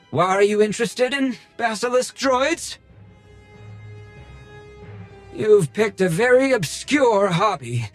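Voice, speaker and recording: A man's voice: high voice, dry recording. A man playing a fussy, pompous and nervous collector of rare droids in a theatrical video game style. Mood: eager, boastful. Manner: pompous, theatrical, flustered under pressure. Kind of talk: video game cutscene acting.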